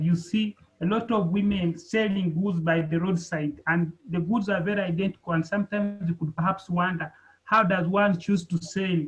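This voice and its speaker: High-voiced man, muffled call audio. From Uganda. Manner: earnest and enthusiastic. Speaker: doctoral student. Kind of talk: introducing himself in an online meeting. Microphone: video call.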